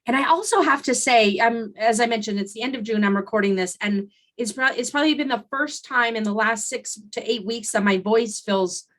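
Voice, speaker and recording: A woman's voice: a little high, fairly quick, few pauses, fairly bright tone. A woman hosting a solo coaching podcast, talking conversationally with frequent fillers and restarts, her voice recovering after laryngitis. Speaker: podcast host and consultant coach.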